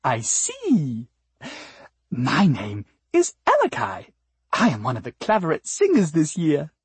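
A woman voicing a female alien rose bush in an audiobook, with a breathy, sweet voice and a polite, wheedling, nervous manner. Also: sits low, wildly swooping pitch, slow, no echo, voice pushed up, exaggerated voice. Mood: chirpy, nervous.

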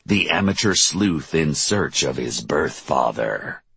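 A man's voice: extremely low pitch, lively intonation, takes it slowly, creaky, fairly bright tone, breathy edge, no room sound, heavily acted. A man voicing a solemn male local TV news anchor with a formal broadcast cadence in an audiobook. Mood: solemn, self-important.

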